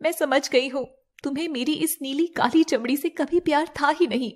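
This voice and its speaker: Woman playing a high-voiced scheming young bride. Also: normal speed, background noise, natural voice. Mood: hurt, accusing. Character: scheming young bride posing as her sister.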